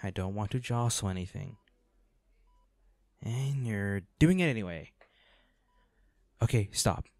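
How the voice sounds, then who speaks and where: A man playing a protective, defiant hunter boyfriend in an intimate roleplay audio drama, speaking unhurriedly with sighs and pauses. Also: on the low side, darkish tone, no room sound, clean studio sound. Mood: tender, weary. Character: protective, defiant boyfriend, a monster hunter, tender but ruthless.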